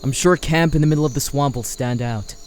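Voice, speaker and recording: A man's voice: medium pitch, brisk, slight background noise, close to an everyday voice. A man playing a protective, worried father defending his forest home in a fantasy audio drama, earnest and practical. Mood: determined.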